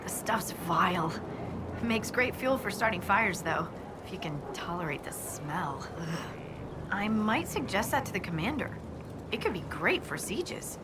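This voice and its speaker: A woman's voice: mid-range voice, very animated, a little slow. An actress playing a friendly young dwarf woman, a wry and candid scout, in natural video game dialogue. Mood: wry, upbeat. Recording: clear background ambient noise.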